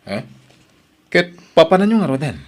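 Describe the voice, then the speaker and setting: A man's voice: a little high, very animated, normal speed. A man playing a confused friend who keeps asking questions, in a radio drama. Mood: puzzled. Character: puzzled man named Mark, the wife's friend.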